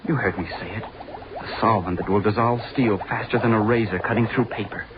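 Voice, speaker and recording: An actor playing a young, obsessive, unhinged college student scientist in vintage radio horror, muttering and repeating himself feverishly. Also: male voice, on the low side, fairly even intonation, brisk, natural voice. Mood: feverish, menacing. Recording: dull, noisy vintage radio recording.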